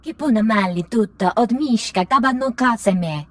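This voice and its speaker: A woman's voice: on the high side, animated, normal speed, fairly bright tone. A woman voicing an alien creature in a video game, speaking in an invented alien tongue. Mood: urgent. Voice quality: alien, distant gibberish.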